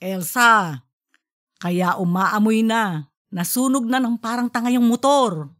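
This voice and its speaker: A woman's voice: on the high side, slow, fairly bright tone. A woman voicing a fussy, easily irritated middle-aged husband who grumbles with exasperation in an audiobook story. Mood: grumbling. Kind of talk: audiobook character voice.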